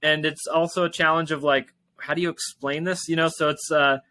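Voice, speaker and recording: Bright-voiced man talking casually, close and dry. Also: on the high side, fairly even intonation, brisk, no echo. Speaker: tech company founder and interview guest. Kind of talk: casual interview talk.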